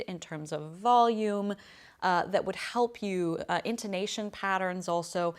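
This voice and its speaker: A woman voice coach explaining a question in a friendly, thoughtful and plain-spoken way. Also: fairly low pitch. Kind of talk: casual explanatory lesson to camera.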